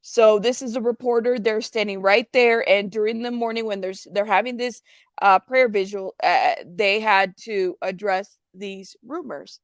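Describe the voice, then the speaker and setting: A woman hosting a casual live news commentary, chatting to viewers in an informal, halting way with emphatic repetition. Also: medium pitch, dry recording.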